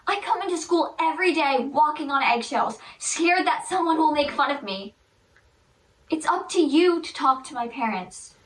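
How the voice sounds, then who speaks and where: A woman playing a bullied teenage girl pleading with her counselor in a hushed, breathy voice. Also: high-pitched, animated, moderate pace. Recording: roomy, from far off.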